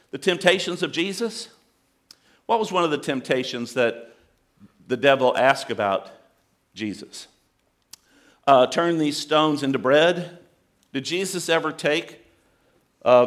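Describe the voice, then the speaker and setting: A man's voice: mid-range voice, fairly bright tone. A man preaching a sermon, asking the congregation questions and building in intensity. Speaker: pastor.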